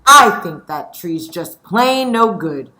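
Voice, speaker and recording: Storybook father voiced by a woman: firm, concerned, questioning, with bright delivery. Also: medium pitch, animated, slow pace.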